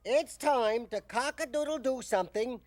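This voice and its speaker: A woman's voice: fairly high pitch, moderate pace, darkish tone, close to an everyday voice. A woman voicing a chirpy, playful farm animal friend in a children's cartoon.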